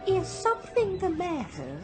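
Brief: kindly chatty woman passenger, radio drama, unhurried, extremely animated intonation. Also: very high-pitched, over music, full character voice. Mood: friendly, matter-of-fact.

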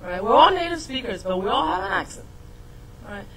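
A woman's voice: medium pitch, big pitch swings, fairly quick, a bit bright, a little hiss or hum, low-quality recording. Chinese woman giving a tech lecture. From Shanghai, China. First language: Mandarin. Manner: explanatory, asks audience to confirm. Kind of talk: technical talk to an audience.

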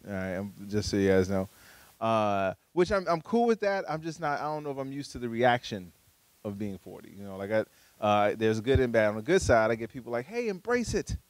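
A man in his forties doing a stand-up comedy set on a small studio stage with a handheld mic, talking conversationally with playful asides and high-pitched voices for punchlines. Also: very animated, a little fast, no room sound. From Chicago, USA. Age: 40s.

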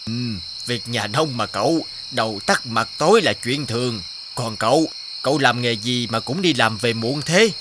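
A man's voice: medium pitch, normal speed, background noise, lightly acted. A man voicing an older male farmer in a cartoon, plain-spoken, curious and blunt. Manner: blunt, folksy. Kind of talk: cartoon dubbing. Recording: music behind the voice.

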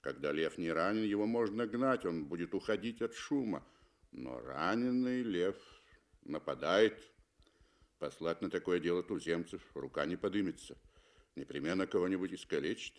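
A man's voice: low voice, expressive, normal speed. A man voicing an unflappable hunting guide, curt and matter-of-fact, in a 1960s radio play. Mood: calm, practical.

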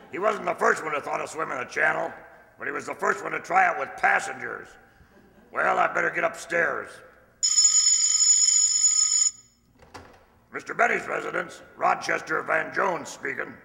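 Older man playing a gravelly, sharp-voiced wisecracking valet. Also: a little high, a little hiss or hum, exaggerated voice. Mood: dry, joking. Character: wisecracking male household valet, easygoing and flirtatious. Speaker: amateur radio-play actor. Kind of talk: old-time radio comedy acting. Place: large indoor stage hall, stand mic. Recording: reverberant hall, stage microphone.

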